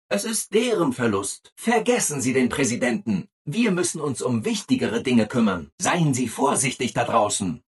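A relentlessly upbeat video game robot assistant, eager to please at every turn. The delivery is chirpy and over-eager, with sing-song emphasis and big lively swings in pitch. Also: male voice, slightly rough. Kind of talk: video game character dialogue.

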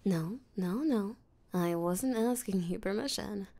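Slow, creaky, seductive vampire voiced by a woman. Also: medium pitch, warm tone. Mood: possessive, amused. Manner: breathy, teasing, flirtatious, slow and deliberate. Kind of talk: intimate roleplay audio drama, spoken to the listener.

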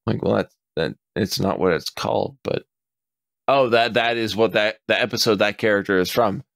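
A man in his forties talks casually on a podcast, his voice sitting on the low side with a dry, close sound. He gets animated, curses freely and slips into quick impressions of customers' lines. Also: dry recording. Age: 40s. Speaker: podcast co-host.

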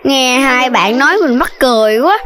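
Small boy piglet, kids' animation: high, childish, sulky whine. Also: expressive, normal speed, music in the background. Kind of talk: children's cartoon voice acting.

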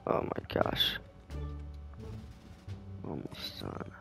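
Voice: extremely slow, bright, forceful tone, faint music behind. A performer playing a sulky, incredulous sixteen-year-old in an amateur video game roleplay, in a casual, reluctant way.

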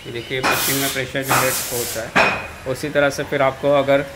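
Man, Indian accent, step-by-step demo, even flat delivery, loud machine noise behind. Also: mid-range voice, moderate pace. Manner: explains step by step while operating the machine. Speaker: machine seller demonstrating equipment. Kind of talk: hands-on product demonstration. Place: indoor workshop floor.

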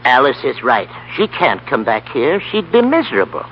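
Old American grandfather, homespun, plain-spoken, animated intonation, dull vintage radio drama sound. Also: medium pitch, moderate pace, slight background noise. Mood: matter-of-fact. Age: older. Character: folksy, easygoing old family grandfather. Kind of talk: 1930s radio play acting.

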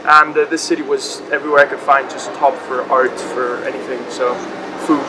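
A man's voice: medium pitch, level tone, a little fast. A man in his twenties from Spain, talking enthusiastically about student life in an outdoor interview. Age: 20s. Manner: enthusiastic, chatty. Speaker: exchange student. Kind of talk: casual interview answers. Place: outdoors by a river. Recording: noisy outdoor recording.

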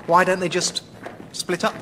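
An actor playing a cheerful, naive young English airline steward in a radio sitcom, guileless and enthusiastic. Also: male voice, sits high, completely flat delivery, normal speed, noisy background, close to an everyday voice. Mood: curious.

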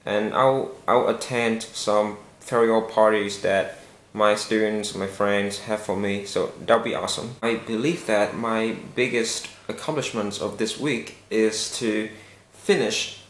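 Slow, earnest young man, echoey room. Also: fairly low pitch. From Vietnam. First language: Vietnamese. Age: young adult. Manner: slow, careful and earnest, with some hesitations. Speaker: vlogger. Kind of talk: casual vlog to camera. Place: small indoor room with a bare wall.

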